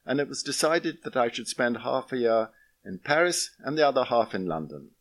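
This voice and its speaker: A man reading a scripted first-person memoir aloud in a measured, literary manner. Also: mid-range voice, animated. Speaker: audiobook-style reader of a memoir.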